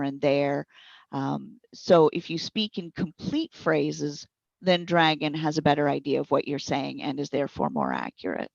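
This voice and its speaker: A woman's voice: sits low, vocal fry, dry recording. A middle-aged woman giving encouraging, conversational explanations as a guest trainer, in slightly dull audio. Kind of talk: conversational instructional interview answers.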